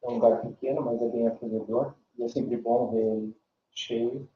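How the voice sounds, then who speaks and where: A man giving halting opening remarks as moderator at an academic workshop, introducing speakers. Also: mid-range voice, little pitch movement, a little hiss or hum. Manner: halting, slow introductions with many fillers. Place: small amphitheater on a university campus. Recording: distant, roomy, dull livestream audio.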